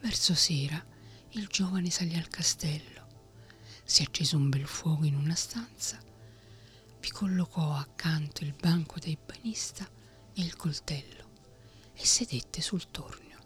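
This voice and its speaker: Slow whispering woman storyteller. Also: sits low, soft background music. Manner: soft whisper throughout. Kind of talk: whispered ASMR fairy-tale reading.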